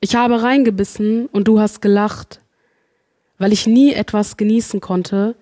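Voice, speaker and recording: A woman poet casually introducing herself with frequent filler sounds, then reading her poem more slowly at a live reading. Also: mid-range voice, steady tone, dark tone. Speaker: poet and workshop leader.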